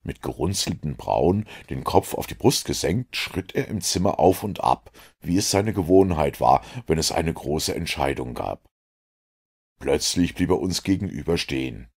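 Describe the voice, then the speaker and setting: A man reading a detective novel aloud as an audiobook, in steady storytelling narration. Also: a bass voice, a little slow, slightly rough. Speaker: audiobook reader.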